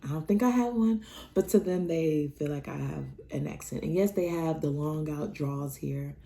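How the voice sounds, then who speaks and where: A young woman from Nebraska chats through her stories in a casual vlog. Her voice sits a little low, with a warm, mellow tone. She acts out the conversations with sudden leaps in pitch. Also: normal speed, vocal fry. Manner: animated, acts out dialogue with big pitch jumps. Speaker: vlogger.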